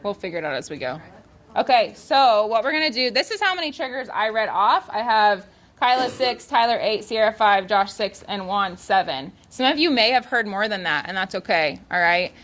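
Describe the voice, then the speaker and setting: A woman's voice: medium pitch, expressive, moderate pace, fairly bright tone. A woman leading a volunteer training activity, giving informal instructions to a group in a casual, improvising way.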